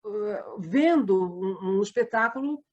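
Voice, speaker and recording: A woman's voice: mid-range voice, lively intonation, takes it slowly, muffled recording. A Brazilian woman, a theatre company member, explaining her work in a conversational interview.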